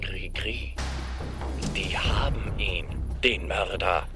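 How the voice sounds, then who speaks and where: Very deep, gruff male accomplice. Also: slow, music in the background, heavily acted. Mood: gruff, dismissive. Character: gruff, curt, busy male accomplice keeping cool. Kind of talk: German radio crime drama acting.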